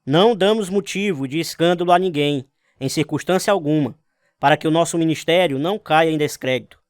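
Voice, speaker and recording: A man's voice: a little high, fairly quick, clean tone, no echo, studio quality, natural voice. An actor playing an earnest, devout young Navy man telling his life story in a radio drama. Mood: reflective. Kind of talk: radio drama narration with acted dialogue.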